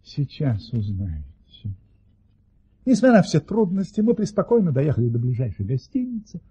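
Husky, high-voiced storytelling baron. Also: male voice, wildly swooping pitch, mellow, highs cut off, heavily acted. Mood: genial, savouring. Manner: savouring storyteller with dramatic pauses. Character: genial theatrical baron spinning tall tales. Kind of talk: audio play storytelling.

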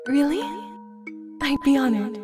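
A woman's voice: high voice, measured pace. A woman playing a sweet, joyful and loving young bride in a dubbed comic drama. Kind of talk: comic dub voice acting. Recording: distant voice under loud music.

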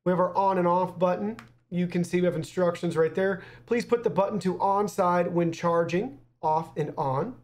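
A young man reviews a product to camera. His voice sits high for a man, and his delivery is upbeat and presenterly. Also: a little mellow. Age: young adult. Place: small indoor studio.

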